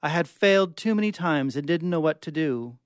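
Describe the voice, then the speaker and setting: A man's voice: on the high side, expressive, fairly quick, dry recording. A man reading short stories dramatically as a guest in a radio studio. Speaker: guest reader.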